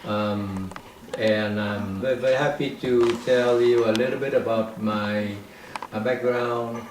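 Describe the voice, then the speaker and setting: Slow, hesitant elderly man in an echoey room. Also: medium pitch, fairly even intonation, darkish tone. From North Vietnam. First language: Vietnamese. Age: 80s. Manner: hesitant, many ums and restarts. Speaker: interview guest, professor. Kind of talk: slow reminiscing interview answer. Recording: echoey room, mic at a distance, faint hiss.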